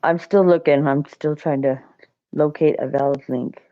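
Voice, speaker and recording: A woman's voice: low-pitched, fairly even intonation, moderate pace, mellow, dry recording. A woman chatting casually in a scattered, self-deprecating way, on slightly muffled audio. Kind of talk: casual chat.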